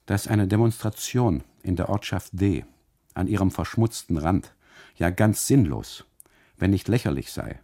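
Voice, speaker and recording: A man narrating a literary radio monologue in the first person, with a gravelly, husky voice and an emphatic, rhetorical delivery of long winding sentences. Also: low voice, expressive, a little slow, mellow.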